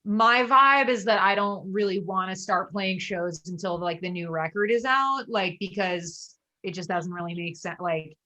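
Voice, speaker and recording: Woman, podcast guest, bright tone, rambling, filler-heavy, casual chat. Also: medium pitch, moderate pace, hardly any pauses. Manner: rambling, many "like" fillers, laughs often. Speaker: podcast guest, band leader.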